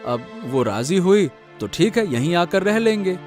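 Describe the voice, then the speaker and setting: A man's voice: high-pitched, big pitch swings, a little slow, darkish tone, faint music behind. A man voicing a humble, worried village servant in a cartoon drama, deferential and hesitant. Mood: worried, respectful. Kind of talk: Hindi cartoon family drama.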